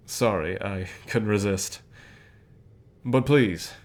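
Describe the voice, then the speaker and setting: A man acts out a calm company boss with a fairly low voice. He talks in a measured, unhurried way, leaving pauses between phrases. It is an intimate ASMR roleplay, encouraging and lightly flirtatious in temper. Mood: teasing, flirtatious. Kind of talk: ASMR roleplay, softly acted one-sided dialogue.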